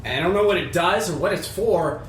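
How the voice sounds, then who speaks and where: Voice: medium pitch, expressive, brisk. A player in a tabletop role-playing game, improvising casually in character with a cheeky, brash manner. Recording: roomy group recording with noise.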